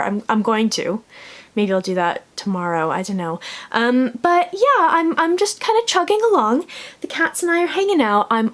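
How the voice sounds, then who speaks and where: A woman book vlogger chatting casually to her viewers in a rambling, informal way, prone to laughing as she talks. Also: fairly high pitch. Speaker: book vlogger and podcast co-host. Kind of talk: casual chat to camera in a reading vlog.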